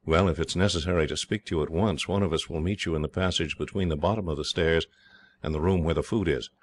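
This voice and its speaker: A hearty young English officer talks in a very deep, steady voice, as a man reads him. He sounds cheerful and reassuring, laying out plans calmly like a storybook hero. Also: fast.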